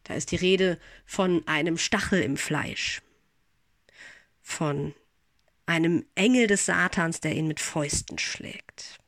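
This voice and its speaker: Gently reflective woman pastor, slightly congested. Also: lowish voice, slow, dry recording. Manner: calm, gentle, reflective address to listeners. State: recovering from an infection, slightly congested. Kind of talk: spoken devotional.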